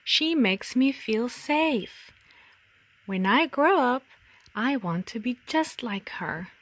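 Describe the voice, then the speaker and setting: A woman reading a picture book aloud for young children in a deliberate, expressive storytelling manner. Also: on the high side.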